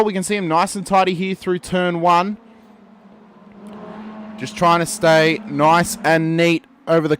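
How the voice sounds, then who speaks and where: A man giving lively play-by-play commentary on a sim race. He talks straight to the viewers and lifts his voice for the big moments. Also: sits high, slight background noise.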